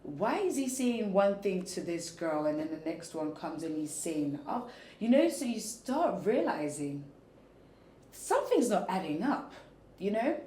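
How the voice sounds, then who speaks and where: A woman's voice: fairly low pitch, measured pace. A young woman telling her story frankly as an interview guest, acting out what people said to her. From Ghanaian heritage, lives in Belgium. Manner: frank, animated, acts out quotes. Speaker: interview guest, former pageant winner. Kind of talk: candid conversational storytelling. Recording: echoey room with faint ambient noise.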